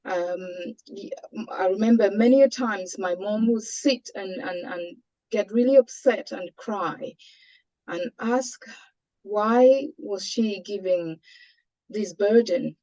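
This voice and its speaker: A woman with a Cameroonian accent giving a personal testimony as a webinar panelist, earnest and measured in her delivery. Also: mid-range voice, warm tone. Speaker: panelist sharing a patient's experience. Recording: dull, lo-fi online call audio.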